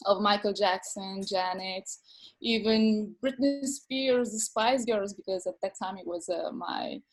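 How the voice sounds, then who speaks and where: A woman from France, a dancer and teacher, telling her story in an interview with many pauses, fillers and repeated words. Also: medium pitch, rough recording. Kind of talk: video call interview.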